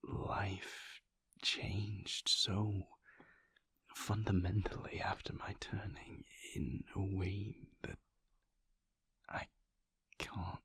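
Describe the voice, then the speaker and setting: A man playing a mysterious, brooding vampire lover in an intimate audio roleplay, tender and hesitant, with halting, formal speech in a husky, breathy whisper. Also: sits low, flat delivery, a bit bright, no room sound, exaggerated voice. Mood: somber, confessional. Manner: halting, measured, formal wording.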